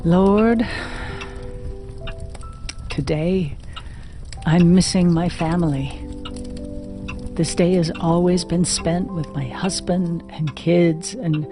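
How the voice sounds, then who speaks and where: An actress playing a lonely, humble elderly widow, speaking in halting, prayerful phrases in an audio drama. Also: female voice, fairly low pitch. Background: music.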